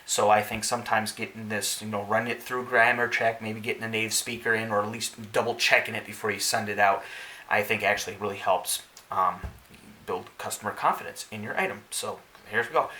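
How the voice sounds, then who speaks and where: A man giving casual unboxing narration as a product reviewer, explaining things in a measured, step-by-step way. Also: on the low side, sharp tone. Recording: faint background hiss.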